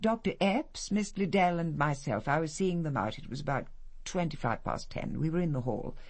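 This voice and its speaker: Haughty, husky upper-class matriarch. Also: female voice, low voice, lively intonation, moderate pace, a little mellow. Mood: formal, firm. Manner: imperious, clipped, formal. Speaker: actress in a radio detective drama. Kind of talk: radio drama dialogue.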